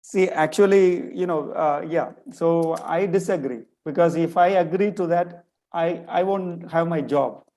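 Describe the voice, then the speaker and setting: A man's voice: a little high, unhurried, few pauses, dark tone, poor audio. A man from India with a Tamil English accent and a slight Malayalam influence, giving an earnest webinar talk over a video call and often repeating his key phrases. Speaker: guest lecturer. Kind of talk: webinar talk answering a question.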